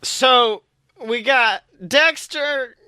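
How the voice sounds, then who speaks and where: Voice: sits high, very slow, bright, forceful tone, smooth. A podcast co-host with a jokey, theatrical way of talking, chatting casually and plugging things between bits. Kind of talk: casual banter, sponsor plug.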